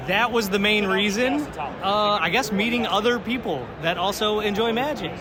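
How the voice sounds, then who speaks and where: A man's voice: sits high, normal speed. A man attending a convention, giving short, easygoing, enthusiastic answers to an interviewer. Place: busy convention floor. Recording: crowd noise on a convention floor.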